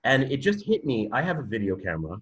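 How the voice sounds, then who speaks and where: A man's voice: medium pitch, very animated, fast, lo-fi sound. A man from Canada with a Canadian accent, talking as a guest in a podcast interview, hesitant with many fillers and restarts, then telling his story with growing energy. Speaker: guest, university programme director.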